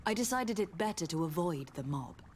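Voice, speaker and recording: An actress playing a young noblewoman envoy in a video game, speaking in a formal, measured, firm way. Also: lowish voice, lively intonation, darkish tone, slight background noise, lightly acted. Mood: composed. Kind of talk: scripted game dialogue. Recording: music behind the voice.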